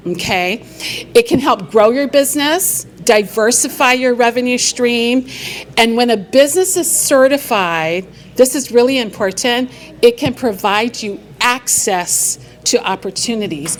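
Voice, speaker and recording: A middle-aged woman from Hawaii, a business consultant giving a live talk on stage to an audience, upbeat and engaging the crowd with questions. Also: a little high. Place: event venue stage.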